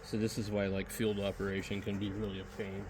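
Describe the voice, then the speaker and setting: A man with a fairly low, very even voice talks through a hands-on hobby demonstration. He is plainspoken and rambles casually as he sets up gear. The outdoor recording is clearly noisy. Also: brisk. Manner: plainspoken, rambling, talking while setting up gear. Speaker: amateur radio hobbyist demonstrating portable gear. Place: outdoors in a backyard. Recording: noisy outdoor recording, uneven mic distance.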